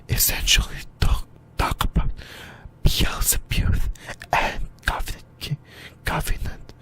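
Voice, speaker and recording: Someone whispering in a breathy, unvoiced voice, giving an informal ASMR-style fan discussion of anime with some mild swearing. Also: a bit bright. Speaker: YouTuber, host of an anime fan channel.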